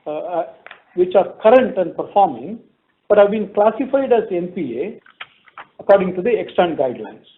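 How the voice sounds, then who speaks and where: A man's voice: high voice, very animated, normal speed, slight background noise. A man with an Indian accent gives a formal, businesslike earnings call presentation as a finance executive, methodically reading out figures. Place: conference call. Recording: tinny narrow phone conference line.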